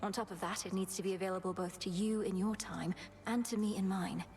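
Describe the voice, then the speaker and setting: A woman's voice: mid-range voice, fairly even intonation, a little mellow, slight background noise, lightly acted. Woman acting a solemn, resolute young witch princess. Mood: earnest, urgent. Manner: formal, measured, archaic phrasing. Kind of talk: video game drama acting.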